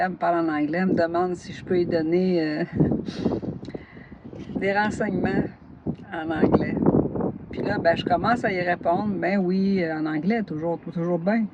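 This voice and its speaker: A woman's voice: lowish voice, muffled recording, very noisy. Tired, laughing Québécois woman. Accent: Québécois French. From northern Gaspésie, Quebec. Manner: chatty, laughs often, jumps between topics. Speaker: knitting vlogger. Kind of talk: casual chat.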